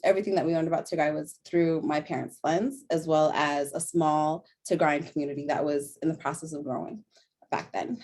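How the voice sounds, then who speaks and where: A woman's voice: sits low, steady tone, a little fast, a little mellow. A young woman with an American accent, reading a prepared panel talk in an earnest way and now and then correcting herself. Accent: General American. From born in a refugee camp in Sudan, raised in Denver. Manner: earnest, self-correcting, occasional laughs.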